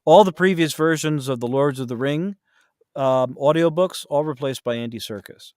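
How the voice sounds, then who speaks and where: A man in a casual podcast conversation, explaining things and giving his opinions in an animated way. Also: on the high side, normal speed, smooth, dry recording, studio quality. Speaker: podcast guest, voice actor.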